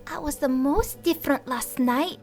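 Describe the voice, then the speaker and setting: Ana, woman doing a high girlish godling. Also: moderate pace, a little mellow, soft background music. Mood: wistful. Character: plucky young girl godling, earnest and hopeful. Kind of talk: audio drama.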